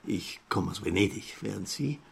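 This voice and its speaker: An actor playing a chatty, self-important greying traveller of about fifty in a radio play, rambling along with an Austrian accent and rhetorical questions. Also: male voice, lowish voice, animated, moderate pace, creaky, slightly rough, full character voice. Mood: chatty, amused.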